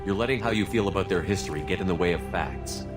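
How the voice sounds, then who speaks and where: An actor playing a steadfast, weary military space commander in a video game, measured and firm. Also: male voice, sits low, natural voice. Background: loud music.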